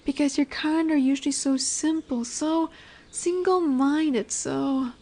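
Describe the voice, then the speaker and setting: A woman voicing a dominant lamia in a roleplay audio, curious and condescending yet nurturing, speaking deliberately with teasing, soothing near-whispers. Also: sits high, steady tone, mellow. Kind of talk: slow roleplay audio monologue addressed to the listener. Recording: birdsong and woodland ambience behind. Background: birdsong, forest ambience.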